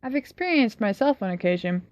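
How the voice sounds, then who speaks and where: A woman's voice: mid-range voice, animated, brisk, darkish tone, lightly acted. Frederick, kind mild young man, read by a woman. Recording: slightly dull, dry recording.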